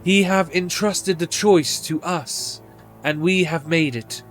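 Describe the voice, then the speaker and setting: A man performs a regal, ancient dragon from a fantasy game. The speech is solemn, stately and archaic, pitched fairly high, with music behind it. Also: slow.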